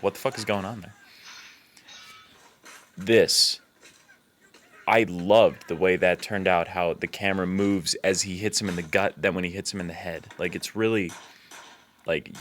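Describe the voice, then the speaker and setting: Very deep-voiced man, casual commentary, noisy recording. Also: moderate pace, pauses often, a bit bright. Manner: blunt, swearing, informal. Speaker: filmmaker giving video commentary.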